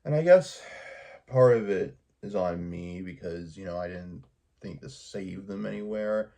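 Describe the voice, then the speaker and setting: A man with a gravelly, husky voice talking casually and haltingly in a vlog monologue, as a YouTuber would. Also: low-pitched, dark tone.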